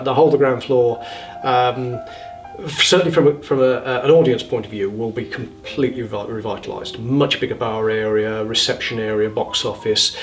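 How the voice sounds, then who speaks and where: An enthusiastic man chats informally in an interview at a medium pitch. He repeats words for emphasis and sits a little away from the mic, with faint music behind him. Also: recorded from a distance. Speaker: theatre member interviewed.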